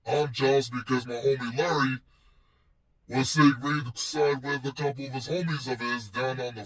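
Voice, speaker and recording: A man's voice: mid-range voice, fairly bright tone, husky, distant mic, low-quality recording. A man vlogging casually about wrestling in a slangy, rambling, playful way, swearing freely. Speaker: vlogger and show host. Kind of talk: casual wrestling chat vlog.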